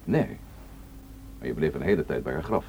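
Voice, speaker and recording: An actor playing an unflappable police inspector in a radio drama, asking measured, dryly teasing questions. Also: male voice, a bass voice, lively intonation, darkish tone, a little hiss or hum. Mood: calm, insistent.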